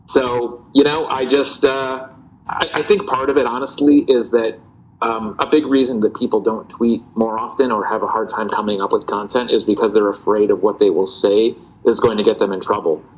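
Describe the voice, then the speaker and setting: Low-voiced relaxed man. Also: moderate pace, low-quality recording. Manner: relaxed, reflective, many fillers and restarts. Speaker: guest, parody account writer. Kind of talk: casual interview talk.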